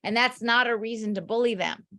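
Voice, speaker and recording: Earnest woman guest, halting and thoughtful. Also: a little high, sharp tone, no room sound, clean studio sound. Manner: hesitant, many fillers and restarts, earnest. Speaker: guest, doctor and author. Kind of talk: casual interview chat.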